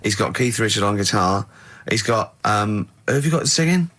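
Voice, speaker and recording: Bright, forceful British man bantering on radio. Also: on the low side, normal speed. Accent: southern English. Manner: excitable, irreverent, repeats phrases. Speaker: radio show co-host. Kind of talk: casual comic radio banter.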